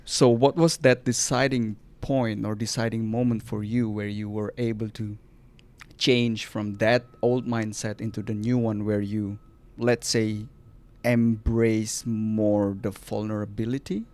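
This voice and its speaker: A man's voice: lowish voice, level tone, measured pace, darkish tone, clear, resonant tone. A man from Indonesia hosting a podcast interview, speaking thoughtfully and searching for words as he reflects. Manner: thoughtful, searching, frequent restarts. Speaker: podcast host.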